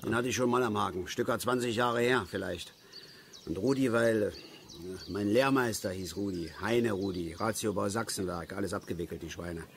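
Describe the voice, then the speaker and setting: An actor playing a blunt, rambling, know-it-all older East German angler in a naturalistic radio drama. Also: male voice, lowish voice, normal speed, natural voice. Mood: grumbling, nostalgic. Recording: outdoor ambience with birds, clear background noise. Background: birdsong and lakeside nature ambience.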